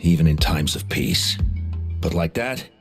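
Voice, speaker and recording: A man's voice: a bass voice, animated, a little slow, soft background music. A man playing a principled, good-natured warrior chief in a video game. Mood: firm, measured.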